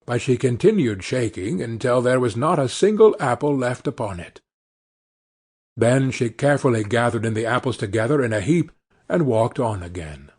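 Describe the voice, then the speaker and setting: A male storyteller narrates a scripted fairy tale. His voice is mid-pitched and somewhat husky, with lively intonation. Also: moderate pace.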